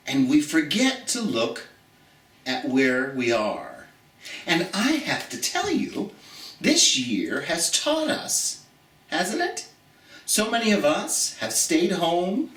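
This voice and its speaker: A man's voice: fairly high pitch, a bit bright. An American man hosting a children's storytime, reading and chatting to viewers in a theatrical manner, drawing out key words for emphasis. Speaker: storytime host. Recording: roomy, echoey, distant from the mic.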